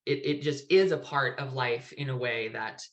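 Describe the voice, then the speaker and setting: A man's voice: medium pitch, normal speed, a bit bright. A man in his thirties hosting a video call interview, friendly and hedging with "kind of" and "right?". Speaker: host, foundation director. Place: indoor home room.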